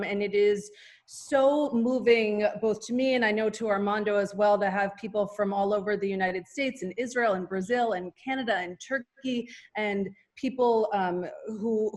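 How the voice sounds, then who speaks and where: A woman interviewing an author in an earnest, halting way. She stops to restart her sentences and leans on fillers. Her voice sits at a middle pitch. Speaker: interviewer, radio host. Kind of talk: conversational interview.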